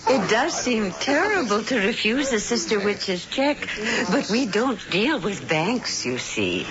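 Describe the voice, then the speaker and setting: An actress playing an elderly witch and doting wife, gentle and fussing, in a 1970s radio drama. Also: medium pitch, very animated, measured pace, a crowd in the background. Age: older. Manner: gentle, fussing, sweetly motherly. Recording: dull lo-fi old radio broadcast.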